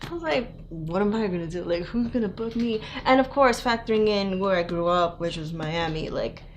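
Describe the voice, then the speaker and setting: A young woman from New York, raised in South Florida, chats about herself as an interview guest. She talks loosely and eagerly, leaning on "like" and laughing easily, at a middle pitch. A light hiss sits under her voice. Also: normal speed. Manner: chatty, peppers speech with "like", laughs easily. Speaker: guest model. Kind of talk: casual interview chat.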